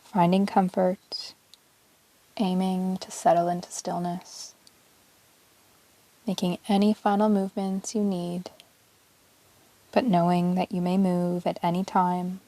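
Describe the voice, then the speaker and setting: A woman teaching yoga, guiding a relaxation meditation in a calm, measured, soothing way with long pauses between short phrases. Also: lowish voice, poor audio. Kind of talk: guided yoga nidra meditation, relaxation narration.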